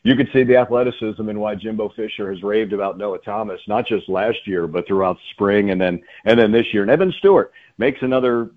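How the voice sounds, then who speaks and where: Male play-by-play announcer as call-in guest, middle-aged or older, conversational, mid pitch, tinny phone-quality audio. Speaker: college football radio play-by-play announcer, interview guest. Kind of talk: conversational sports interview. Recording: phone-like, narrow-band radio call-in.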